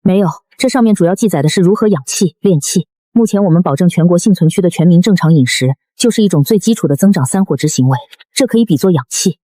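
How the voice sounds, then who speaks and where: An earnest, studious male researcher from an animated drama, played by an actor. He explains at a quick clip, with a dry, close sound and no room around it. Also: high-pitched, no echo. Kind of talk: animated web drama dialogue.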